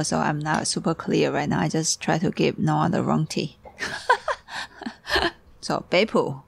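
A tired woman leads a tea tasting, halting and searching for words. Her voice sits low with a gravelly edge. The recording is dry and close, with no room sound. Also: dry recording. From Taiwan. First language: Taiwanese. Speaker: tea teacher.

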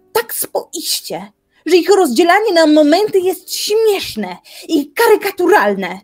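An actress playing a determined, defiant young woman in an audio drama, arguing in measured, formal language. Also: sits high, a little mellow. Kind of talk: audio drama acting, literary dialogue.

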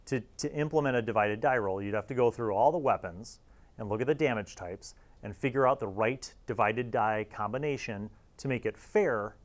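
A middle-aged man hosting a casual, opinionated review, speaking bluntly and conversationally, often checking in with a tag question. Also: lowish voice. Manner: conversational, blunt, often ends phrases with "right?". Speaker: host. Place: small dark indoor studio. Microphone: lapel mic.

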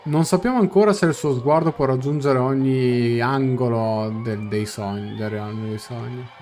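A man's voice: mid-range voice, normal speed, darkish tone. A young man giving casual live game commentary, thinking aloud as he explains and translates. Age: young adult. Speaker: game streamer. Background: game music.

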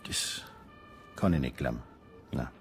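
An actor playing an easygoing small-town policeman with a Bavarian accent in a radio crime drama, unhurried and matter-of-fact. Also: very deep voice, soft background music. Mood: reflective. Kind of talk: naturalistic German radio crime drama acting.

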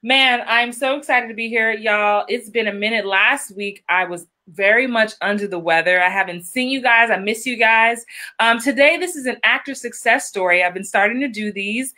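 A woman acting coach hosting a live-streamed interview, bubbly and animated, quick to laugh. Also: a little high.

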